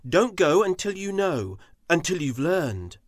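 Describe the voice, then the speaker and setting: A storyteller voicing a gentle but stern male lord of the sky who speaks softly and solemnly in a children's tale. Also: mid-range voice, big pitch swings, no room sound, studio quality, pitched above the performer's own voice. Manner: soft, slow and solemn.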